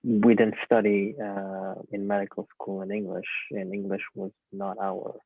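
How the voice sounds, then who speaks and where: Guy in his 30s, low gravelly voice, lots of ums, tinny dry call audio. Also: completely flat delivery, measured pace, dry recording. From Uzbekistan. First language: Russian. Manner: hesitant, many fillers. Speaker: guest physician. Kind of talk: casual interview answers.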